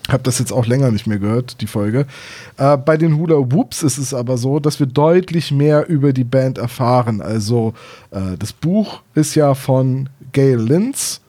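A man's voice: medium pitch, animated, a little slow, dark tone. A man in his thirties chatting casually as a podcast co-host, explaining things in careful detail. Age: 30s. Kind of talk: casual discussion of audio dramas and books.

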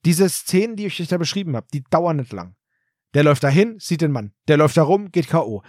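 A man's voice: fairly high pitch, very animated, a little fast, darkish tone, no room sound, studio quality. A man co-hosting a podcast, casually discussing an audio drama in an emphatic, opinionated, colloquial way. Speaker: podcast co-host.

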